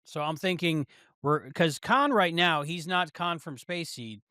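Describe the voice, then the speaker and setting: Male co-host, bright and forceful, slightly high for a man, casual fan-review chat, very dry sound. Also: a little slow, dry recording. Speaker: podcast co-host.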